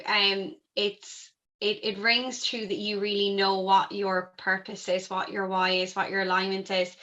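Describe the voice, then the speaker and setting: A woman's voice: medium pitch, pushed and bright, dull audio. A young woman hosting a podcast, enthusiastic and effusive as she introduces and questions her guest. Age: young adult. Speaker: podcast host. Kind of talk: podcast interview.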